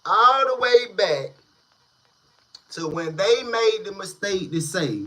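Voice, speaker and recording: A man hosting a casual street-news commentary channel, talking in slang with drawn-out, emphatic delivery. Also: high voice, animated, bright, forceful tone.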